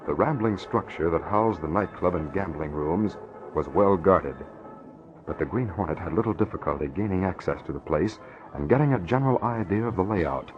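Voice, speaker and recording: Deep-voiced old-time radio narrator, a man. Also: lively intonation, moderate pace. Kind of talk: scripted radio drama narration. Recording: dull old radio recording, faint noise.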